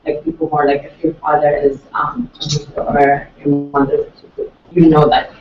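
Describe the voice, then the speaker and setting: A man's voice: fairly high pitch, flat delivery, moderate pace, highs cut off, soft background music. A man from Ethiopia in the audience, hesitantly asking a question in casual talk. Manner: hesitant, many fillers. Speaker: audience member. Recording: echoey room from far off.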